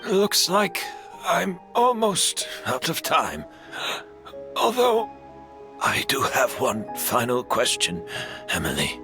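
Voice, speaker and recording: An actor playing a cold, defiant master perfumer who refuses to repent, speaking slowly and sardonically. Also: male voice, mid-range voice, wildly swooping pitch, over music, heavily acted. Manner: slow, sardonic, unrepentant.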